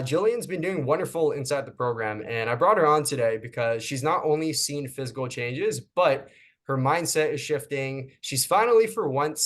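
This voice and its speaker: Encouraging male fitness coach hosting. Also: medium pitch. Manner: friendly, encouraging, with frequent fillers and restarts. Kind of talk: casual interview.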